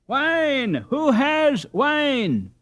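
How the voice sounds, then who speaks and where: A man's voice: very high voice, wildly swooping pitch, extremely slow, fairly bright tone, voice pushed up, exaggerated voice. A man voicing a spirited, concerned young boy skater, calling out urgently in exclamations.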